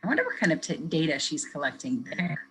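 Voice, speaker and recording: A woman educator reading a picture book aloud to children, pausing to ask them questions in a gentle, expressive way. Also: deep voice. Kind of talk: children's read-aloud with teacher commentary.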